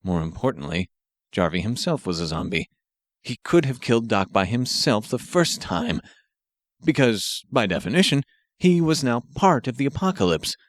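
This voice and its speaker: A man's voice: medium pitch, big pitch swings, normal speed, dry recording. Male audiobook narrator, dry close sound. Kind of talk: scripted narration of an action story.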